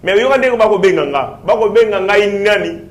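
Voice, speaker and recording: A man's voice: sits high, expressive, fairly quick. A man with a Congolese accent making a political argument as a panel guest, in a didactic, repetitive way. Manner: didactic, repetitive, explains with examples. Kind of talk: political argument, mixing French and Lingala. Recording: roomy echo on the voice.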